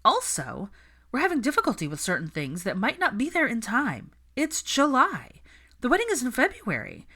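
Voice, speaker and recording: A woman's voice: a little high, bright, forceful tone, pitched above the performer's own voice, heavily acted. Woman voicing a stressed, exasperated young bride-to-be witch. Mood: exasperated rant. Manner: venting, emphatic.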